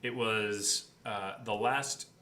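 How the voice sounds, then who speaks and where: Bright-voiced low-pitched man, echoey room. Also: fairly even intonation, slow pace. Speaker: podcast co-host and editor. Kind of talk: casual behind-the-scenes chat. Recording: roomy echo, clear background noise.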